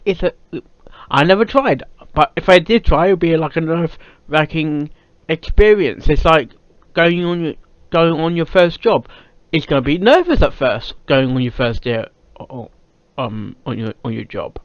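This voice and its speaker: British male reaction host, highish lively voice, halting and repetitive delivery, dull lo-fi mic. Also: dry recording. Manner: halting, repeats words and phrases. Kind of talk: casual off-the-cuff reaction commentary. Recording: dull lo-fi microphone sound.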